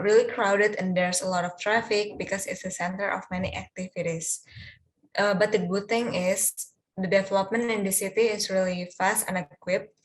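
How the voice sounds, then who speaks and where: A woman of twenty, answering questions in a mock English speaking test in measured sentences with occasional fillers. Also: medium pitch. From Indonesia. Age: 20s. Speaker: test candidate, peer examiner.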